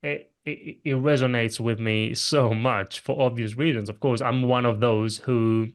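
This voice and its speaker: A man's voice: mid-range voice, fairly even intonation, measured pace. A man with an Italian accent, a native Italian speaker, hosting a podcast interview and politely asking his guest questions. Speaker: podcast host.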